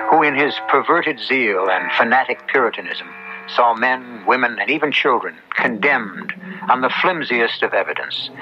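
A man hosting a radio mystery series, narrating a script in an ominous, deliberate, theatrical way. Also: medium pitch, background noise. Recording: dull old radio broadcast with music.

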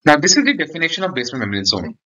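A man's voice: fairly high pitch, very animated, very fast, bright, forceful tone, highs cut off. A man gives an explanatory medical lecture for exam students, often checking in with a short "okay". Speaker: dermatology lecturer.

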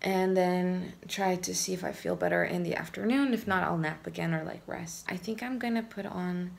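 A woman's voice: fairly low pitch, normal speed, vocal fry. A woman in her twenties chatting casually to camera, tired and groggy, in a rambling, self-deprecating, informal way. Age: 20s. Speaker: vlogger. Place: small room at home, indoors. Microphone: phone or camera at arm's length.

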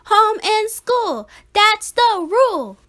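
A woman's voice: very high-pitched, very animated, slow, fairly bright tone, pitched above the performer's own voice, full character voice. A woman voicing a whiny, insistent little squirrel sister in a children's storybook. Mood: chanting.

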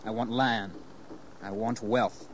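Ambitious young gentleman, noisy recording. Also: fairly low pitch, steady tone, takes it slowly. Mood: resolute, proud. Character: ambitious, proud young English gentleman settler, resolute husband. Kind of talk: historical audio drama acting. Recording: noisy 1980s audio drama tape.

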